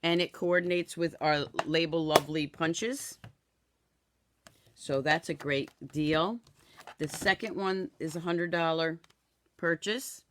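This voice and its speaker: Woman, 50s, hoarse with a cold, raspy, chatty live craft demonstrator, home craft room. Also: sits low, slow pace, background noise. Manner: chatty, greets viewers by name. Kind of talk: live-streamed craft demo. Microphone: camera in front, then overhead camera.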